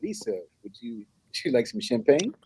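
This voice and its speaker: A man's voice: mid-range voice, very animated, moderate pace, a little mellow. A man playing a polite, earnest young African prince with formal, hesitant courtesy in a comedy table read. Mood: gentle, earnest. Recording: clear background noise with clicks.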